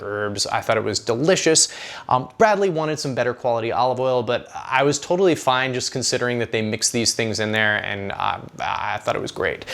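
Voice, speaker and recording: A man's voice: medium pitch, fairly bright tone. A man hosting a food vlog, telling his restaurant review in a chatty, enthusiastic, casual way. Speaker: food vlog host and narrator.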